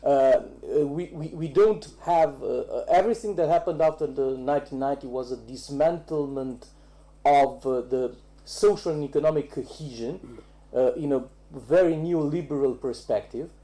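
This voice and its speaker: Halting man with a very soft, dark voice. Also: mid-range voice. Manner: halting, searching for words. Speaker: academic panelist. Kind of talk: academic round-table discussion.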